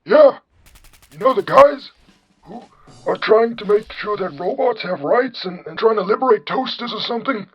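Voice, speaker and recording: A man's voice: sits high, animated, moderate pace, dull audio, crowd noise, voice pushed up. A man voicing a cranky, indignant old synth with a blustering, exaggerated generic old man voice in a comedy skit. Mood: menacing. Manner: blustering, repetitive, scolding.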